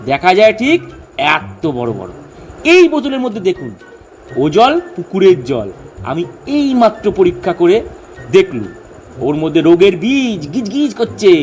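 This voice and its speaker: Comedy audio drama: male actor as excitable know-all scientist, didactic and insistent, high male voice with lively, wide-ranging intonation. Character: pedantic, excitable male amateur scientist lecturing eagerly. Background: background music.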